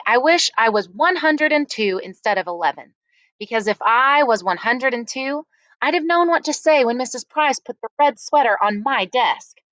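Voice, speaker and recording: A woman narrates a first-person story as a read-aloud. Her voice sits a little high and swings widely in pitch, with deliberate, dramatic phrasing. The sound is dry and close. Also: a bit bright, no echo, rough recording.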